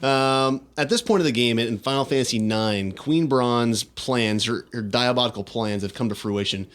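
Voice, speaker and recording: A man's voice: medium pitch, moderate pace. A man hosting a podcast, casually retelling video game scenes with dramatic storytelling emphasis. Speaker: podcast host. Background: music behind the voice.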